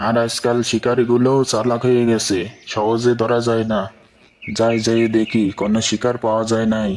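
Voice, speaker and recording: A man's voice: mid-range voice, monotone, a little fast, darkish tone, close to an everyday voice. A man voicing a hungry, sly, grumbling tiger in a children's cartoon. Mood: hungry, scheming. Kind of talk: Bengali children's cartoon dubbing.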